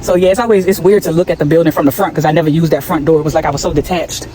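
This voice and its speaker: A woman's voice: sits low, fairly even intonation, very fast, a crowd in the background. A woman in her forties talking casually to her viewers in a vlog, chatty and direct, addressing them as y'all. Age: 40s. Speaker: vlogger. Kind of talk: casual vlog talk to camera.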